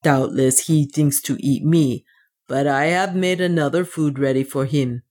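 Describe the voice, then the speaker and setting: A woman's voice: low voice, steady tone, a little slow, a little mellow. A woman voicing a loyal, boastful male dwarf warrior in an audiobook, with a grim, emphatic manner. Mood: gleeful. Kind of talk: audiobook character dialogue.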